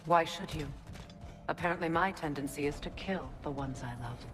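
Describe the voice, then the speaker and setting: Woman as a low-voiced, proud, bitter goddess. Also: normal speed, lightly acted. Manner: formal, sharp-tongued, cool. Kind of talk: video game dramatic acting. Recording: game music behind the voice.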